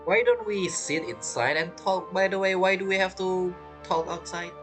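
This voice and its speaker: A man's voice: high voice, normal speed. Chatty male gamer over faint music. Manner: chatty, reactive, non-native phrasing. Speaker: streamer playing a game. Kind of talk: casual gaming commentary. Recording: game music behind the voice. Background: game music.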